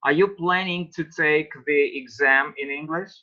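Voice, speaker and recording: Bright-voiced man teaching an online English lesson. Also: fairly high pitch, highs cut off. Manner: slow, patient questioning of students.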